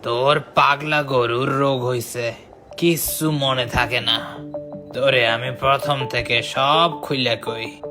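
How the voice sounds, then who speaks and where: A man's voice: mid-range voice, fairly even intonation, takes it slowly, lightly acted. A man voicing a grumbling, scolding old man who recounts the past in a comic cartoon. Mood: scolding, reminiscing. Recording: background music under the voice.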